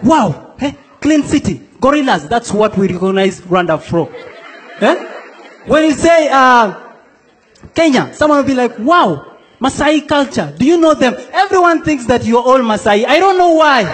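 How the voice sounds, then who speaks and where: A young man from South Sudan works a comedy stage, playfully acting out the people in his stories. His voice sits very high for a man, and it rings with the long echo of a big hall. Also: fairly bright tone, breathy edge. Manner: playful, acts out quoted characters. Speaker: stand-up comedian. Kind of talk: stand-up comedy set. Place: comedy stage in a large hall before a laughing audience.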